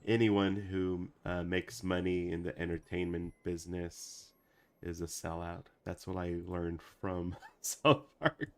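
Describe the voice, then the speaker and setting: A man's voice: deep voice, level tone, unhurried. A man, an animator and channel producer, chatting casually on a stream and reading comments, rambling with lots of fillers and restarts. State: recovering from a week of sickness.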